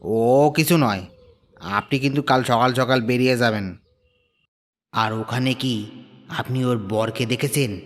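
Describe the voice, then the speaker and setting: A man voicing a suspicious middle-aged village tea-stall keeper who talks in low, hushed tones. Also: measured pace, pauses often, lightly acted.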